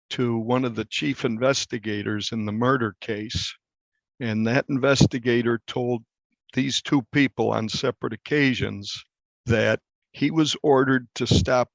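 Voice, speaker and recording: A male reporter answers interview questions with deliberate, halting phrasing. His voice is creaky and gravelly at an average pitch, and the recording is dry and somewhat muffled. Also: no echo. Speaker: newspaper reporter being interviewed. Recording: dull, slightly muffled lo-fi sound.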